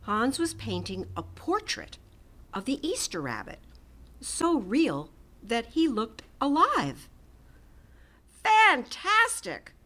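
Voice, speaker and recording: A woman reading a storybook aloud to children in a gentle, expressive way. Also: a little high. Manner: gentle, expressive, slow and lively. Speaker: storybook reader.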